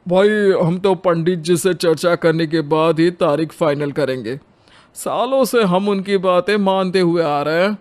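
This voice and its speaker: A man's voice: high voice, measured pace, a little mellow. A man voicing a traditional-minded, deferential middle-aged father in a dramatized moral story. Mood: earnest, conservative.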